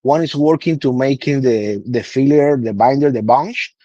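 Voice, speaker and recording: A man's voice: mid-range voice, moderate pace, mellow, no echo, highs cut off. A man in his thirties from Cuba, with a Cuban Spanish accent and Spanish as his first language, explaining patiently in broken English in a casual interview. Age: 30s. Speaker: guest cigar blender.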